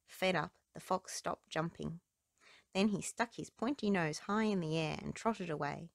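Low, creaky woman reading children's stories. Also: measured pace, dry recording, studio quality. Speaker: storybook reader. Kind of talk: read-aloud children's story narration.

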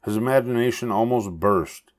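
An older man reading a children's story aloud with expressive narration. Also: medium pitch, normal speed. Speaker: storybook reader.

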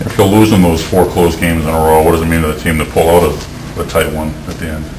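A man's voice: very deep voice, flat delivery, fast talker, distant mic. A man, a reporter asking rambling questions at a press conference. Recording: echoey room with background noise.

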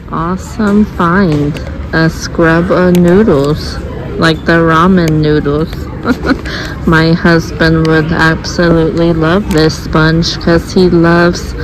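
A woman narrating a shopping vlog as she walks store aisles, reading out items and prices in an unhurried way with light chuckles, recorded on a handheld phone. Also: on the low side, background noise. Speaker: shopping vlogger. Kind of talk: casual shop-with-me narration. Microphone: phone camera held by the speaker.

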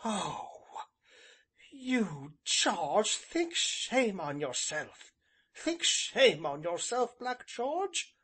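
Guy doing a fiery young village woman, high swoopy voice, telling people off, storybook style. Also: big pitch swings, very slow, sharp tone, husky, full character voice. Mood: scornful, indignant. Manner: emphatic, scolding.

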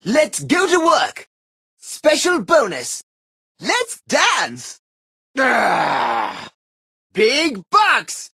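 A young boy leading a squad of kid operatives in a cartoon game. He is earnest and bossy, firing off short, melodramatic commands in a high voice that swoops widely, with slow, deliberate pacing. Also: wildly swooping pitch, vocal fry, bright, forceful tone, breathy edge, no room sound, studio quality, heavily acted. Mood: eager. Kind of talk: cartoon video game voice barks.

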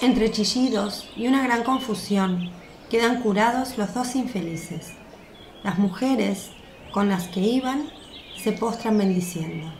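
A woman's voice: mid-range voice, slow. A woman giving scripted narration for a religious radio drama. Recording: echoey, faint noise with birdsong.

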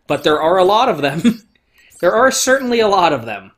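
A man's voice: high voice, big pitch swings, moderate pace. A man giving casual, opinionated gameplay commentary, swearing freely and stressing his points. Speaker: let's play host.

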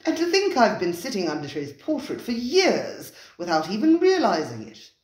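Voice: mid-range voice, wildly swooping pitch, normal speed, voice pushed up. Reader doing a jolly teasing regular guy at a restaurant, bouncy voice, echoey. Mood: jovial. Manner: hearty and teasing. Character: jovial, hearty male regular diner. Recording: roomy, echoey recording.

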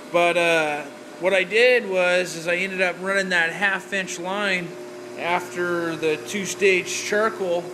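A man filming a casual walkthrough of his home reef aquarium setup, rambling with lots of filler words as he talks to the camera. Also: fairly high pitch. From Oregon. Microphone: handheld camera, off-screen. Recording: camera mic with noise.

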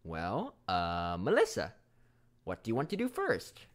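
An actor playing a young, dutiful, easily flustered male unicorn squire, hesitant and expressive, in an animated series. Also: sits low, unhurried, full character voice. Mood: awkward, resigned. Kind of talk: animated fan series voice acting. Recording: music behind the voice.

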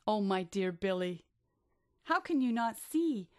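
A woman's voice: medium pitch, animated, unhurried, no echo, clean studio sound, lightly acted. A woman voicing a caring, bossy female snail who urges her friend on emphatically in a children's storybook. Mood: loving, urging. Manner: emphatic, urging.

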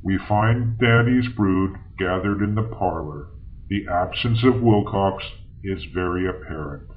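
An actor playing a sober, methodical 1930s doctor, speaking formally as in an old-time radio audio journal. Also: male voice, deep voice, phone-quality sound. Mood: grave. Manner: formal, measured. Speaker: doctor and narrator. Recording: faint background noise.

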